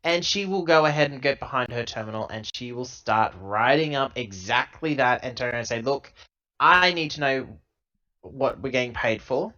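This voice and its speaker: A man playing a tabletop role-playing game, improvising dialogue and narration in a halting, self-correcting, emphatic way on a lo-fi recording. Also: medium pitch, lively intonation, pushed and bright. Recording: dull lo-fi laptop recording.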